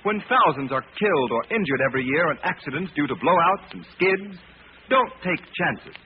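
A man's voice: a little high, animated, moderate pace, a little hiss or hum. A male announcer reading a script emphatically in 1930s radio style, on a tinny old recording. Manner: emphatic, declamatory.

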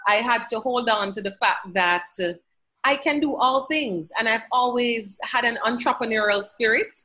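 A woman's voice: a little high, moderate pace, bright, forceful tone. A woman guest on a podcast interview, speaking earnestly from her home office and quoting scripture. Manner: earnest, faith-filled, quotes scripture. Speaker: podcast guest, language educator. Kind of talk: conversational interview answers.

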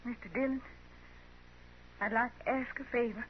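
Actress as weary frontier woman, highish voice, old radio. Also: fairly even intonation, natural voice. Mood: sad, resigned. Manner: rustic, halting, plaintive. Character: weary, plain-spoken, resigned frontier ranch woman. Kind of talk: 1950s radio western acting. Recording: muffled, hissy 1950s radio recording.

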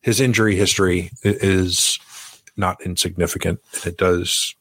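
Deep husky man breaking down betting lines. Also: fairly even intonation, fairly bright tone, dry recording. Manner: measured, analytical, hedging. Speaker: podcast co-host. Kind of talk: casual sports betting chat.

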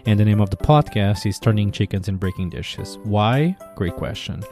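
A man's voice: lowish voice, animated, moderate pace, dark tone, soft background music. A man from Portugal with a Portuguese accent, hosting an interview podcast in a playful way with joking asides. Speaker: podcast host.

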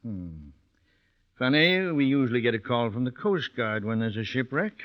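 An American actor playing a skeptical, folksy small-town sheriff in an old-time radio drama. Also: male voice, medium pitch, big pitch swings, normal speed, close to an everyday voice. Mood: probing, casual. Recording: dull lo-fi vintage radio recording.

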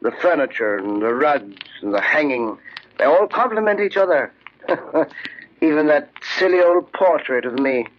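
Genial older gentleman, highish voice. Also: lively intonation, a little slow. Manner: chuckling, leisurely, fussy, avuncular. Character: genial, comfort-loving older uncle, well-to-do. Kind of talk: 1940s radio drama acting. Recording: muffled, crackly old radio recording.

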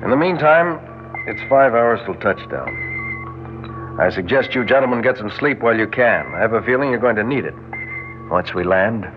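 Actor as a brisk spaceship commander, muffled radio. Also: male voice, mid-range voice, expressive, lightly acted. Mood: wary, commanding. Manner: businesslike, military. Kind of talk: old-time radio drama acting. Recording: dull old radio, music behind.

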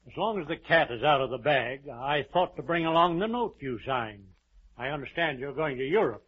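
An actor playing a courteous, uneasy nineteenth-century general, formal and polite, in an old-time radio drama. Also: male voice, mid-range voice, lively intonation, normal speed, slightly rough. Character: courteous, uneasy gentleman army general of the 1870s. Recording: dull vintage radio recording.

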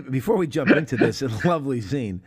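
Brief: American podcast host in his forties, mid-pitched, chatty, with a mock-sermon flair. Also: sing-song, moderate pace, breathy edge. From United States. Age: 40s. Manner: mock-preacher intros, laughs often mid-sentence. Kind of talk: casual podcast chat.

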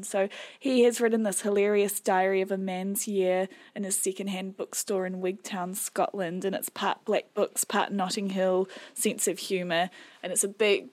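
A chatty woman co-hosting a book podcast. Her voice is croaky and sits at a middle pitch. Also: fairly even intonation, normal speed. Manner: chatty, introduces items. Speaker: podcast co-host. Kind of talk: casual chat.

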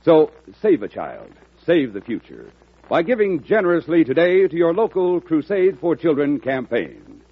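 Earnest husky radio announcer man. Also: on the high side, sing-song, normal speed. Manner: earnest, formal. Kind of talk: scripted charity appeal. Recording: tinny old radio broadcast.

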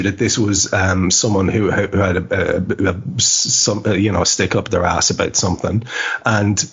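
Husky, low-voiced man hosting a podcast. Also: a bit bright. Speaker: podcast host. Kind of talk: casual football podcast chat.

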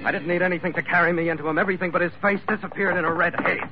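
A man's voice: high voice, fairly even intonation, racing, natural voice. An actor playing a tough, hot-tempered sea captain who narrates his own adventure in a terse, dramatic old-radio style. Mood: urgent, fierce. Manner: hard-boiled, terse, dramatic delivery. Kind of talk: 1940s-50s radio adventure drama acting with first-person narration. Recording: dull vintage radio recording, loud music behind.

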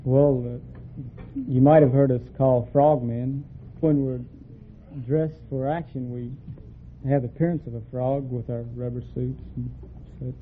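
Young male sailor contestant, mid-range voice, plain halting answers, thin vintage radio with faint noise. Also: mid-range voice, fairly even intonation, phone-quality sound. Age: young adult. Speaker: navy frogman, quiz contestant. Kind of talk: plain, hesitant interview answers. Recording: thin, faintly noisy old radio recording.

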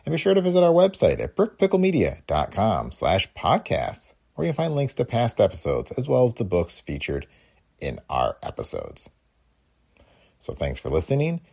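A man with a deep voice and plenty of fry reads a scripted podcast introduction. His intonation moves up and down in a lively way as he hosts. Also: normal speed. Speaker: podcast host.

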